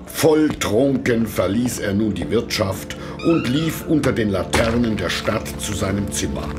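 Slow, expressive male storyteller. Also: lowish voice. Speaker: narrator. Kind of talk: scripted fairy tale narration. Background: music.

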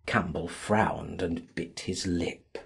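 A man narrating a classic novel as a dramatic audiobook, theatrical and deliberate in his delivery. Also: deep voice, fairly even intonation, vocal fry, breathy edge.

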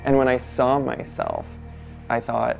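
Non-binary interviewee, 20s, male-range voice with a gravelly edge, reflective and unhurried, faint music underneath. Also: medium pitch, wildly swooping pitch. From Barbados. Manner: thoughtful, unhurried, many soft fillers. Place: indoor studio before a painted backdrop.

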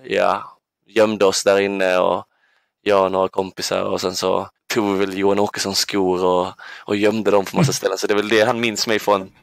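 A man's voice: sits low, flat delivery, creaky, no room sound. A man in his twenties from southern Sweden, reminiscing casually as an interview guest in a hesitant, thoughtful way. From Ängelholm, southern Sweden. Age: 20s. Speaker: podcast guest, basketball player.

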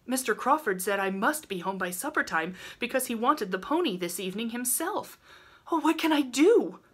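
Storybook heroine: young, high-pitched, hesitant and earnest, voiced by a female reader. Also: animated, moderate pace, husky. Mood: anxious, pleading. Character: anxious, tender-hearted, squeamish young woman.

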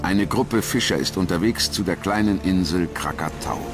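A man reading scripted documentary narration in a measured, solemn manner. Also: low-pitched, over loud music.

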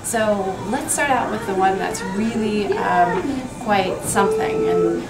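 A woman's voice: medium pitch, big pitch swings, slow. A woman in her forties hosting a food show, asking eager, enthusiastic questions on location in a cheese shop. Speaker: host. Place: busy cheese shop, indoors. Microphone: camera mic from a distance. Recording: echoey shop recorded from far off with loud crowd.

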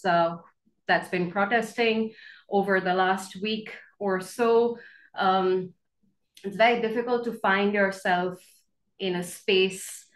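Young Indian woman, guest speaker. Mid-pitched, earnest, reflective, pausing delivery; roomy home video-call sound. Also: fairly bright tone. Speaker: invited speaker, professor and poet. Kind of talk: reflective informal talk. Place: bedroom at home.

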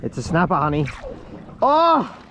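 An Australian woman chatting casually while fishing from a small boat outdoors, excitable and cheering, caught on an action camera. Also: on the low side, a little slow. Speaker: fishing vlogger. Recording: dull lo-fi sound with loud wind and water.

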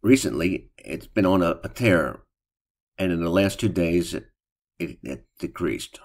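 An older man with a very low, deep voice talks through his trading plan as a voice-over. He speaks plainly and without hurry, stumbling and restarting now and then, like a home channel host going over charts. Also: expressive. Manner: plain, unhurried, with fillers and restarts. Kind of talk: casual screen-share commentary. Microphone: voice-over on screen recording.

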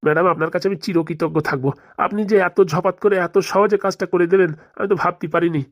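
A woman's voice: on the low side, fairly even intonation, quick pace, dry recording, clean studio sound, close to an everyday voice. A woman voicing a fawning, gullible middle-aged male politician in a cartoon, wordy and deferential. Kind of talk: amateur cartoon voice acting.

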